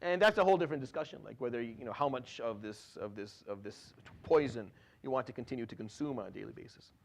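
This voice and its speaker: A man's voice: mid-range voice, animated, fast, creaky, slight background noise. A man in his thirties, raised in Syria, giving an informal Quran commentary lecture, talking conversationally with many restarts and swift Arabic recitations. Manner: conversational, frequent restarts and repeated words, drops Arabic fillers.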